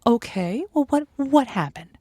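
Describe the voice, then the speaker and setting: High-voiced, emphatic woman advice host. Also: lively intonation, unhurried, mellow, dry recording, clean studio sound. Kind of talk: comic call-in advice, conversational.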